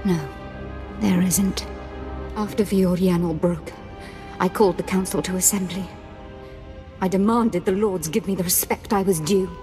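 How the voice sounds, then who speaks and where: An actress voicing an unstable young female Sith lord, wounded and bitter, with a gravelly voice and a manner that swings from imperious rage to self-pity, in a video game cutscene. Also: fairly low pitch, steady tone, normal speed, pauses often, over music, natural voice.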